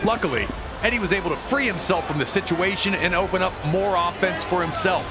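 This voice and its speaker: A man with a high voice narrates in a dramatic, scripted sports style. He tells the action as a story. Loud music plays behind him throughout. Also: a little fast. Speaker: match narrator.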